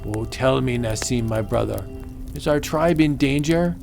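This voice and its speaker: A man's voice: medium pitch, unhurried, gravelly, a little mellow, soft background music. A man voicing a proud, mighty witch doctor with stilted, declamatory ritual speech in a comic book audiobook. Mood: incantatory.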